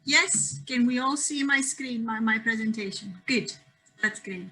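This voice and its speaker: A woman's voice: fairly high pitch, measured pace, pushed and bright, faint music behind, rough recording. A woman with a Greek accent giving an online lecture over a video call, friendly and using many ums and restarts.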